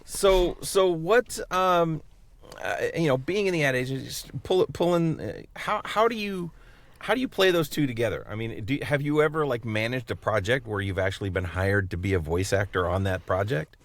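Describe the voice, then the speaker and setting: A man's voice: mid-range voice, moderate pace. A man co-hosting a podcast, interviewing casually in a rambling, jokey way with hesitant phrasing. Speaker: podcast co-host.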